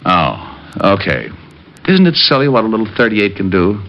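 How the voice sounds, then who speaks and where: Flippant tough detective, low forceful voice, vintage radio crime drama, dull recording. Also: male voice, wildly swooping pitch, moderate pace, exaggerated voice. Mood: cocky, sardonic. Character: wisecracking, cocky male private detective. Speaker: lead actor in detective drama. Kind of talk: old-time radio crime drama acting.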